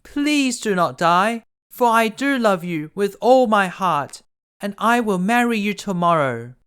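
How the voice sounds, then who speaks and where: A man puts on a sweet young fairy-tale maiden, pitched up high. She speaks with tender, heartfelt devotion and lively, polite phrasing. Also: a little slow, smooth. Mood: anguished, loving. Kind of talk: storybook character voice.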